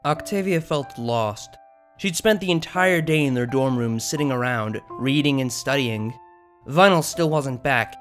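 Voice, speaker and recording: A man's voice: medium pitch, animated, measured pace, fairly bright tone, soft background music. A man reading scripted fiction as an audiobook narrator.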